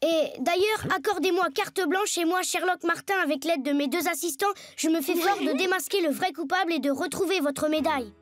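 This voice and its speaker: A woman's voice: extremely high pitch, fairly quick, few pauses. A woman voicing a self-important, earnest schoolboy detective in a cartoon, speaking in pompous, formal phrases. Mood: determined. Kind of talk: French animated series dubbing.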